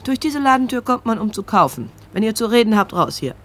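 A woman's voice: a little high, very animated, brisk, a bit bright. A woman playing a brusque, wry, nervy shopkeeper in a German crime radio drama, talking in a sardonic, colloquial, flustered way. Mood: brisk.

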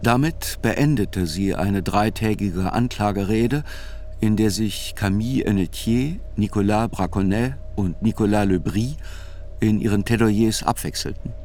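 An actor as a sober chronicler, narrating a radio drama in reflective, deliberate literary phrases. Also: male voice, lowish voice, a little mellow, slight background noise. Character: sober male chronicler narrating a criminal trial.

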